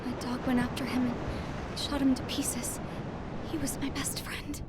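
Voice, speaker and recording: A young woman playing a refined, grieving princess who narrates a video game story in a solemn, hushed and measured way. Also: sits high, little pitch movement, whispered. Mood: sorrowful, numb. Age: young adult. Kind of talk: dramatic scripted narration. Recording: loud music under the voice.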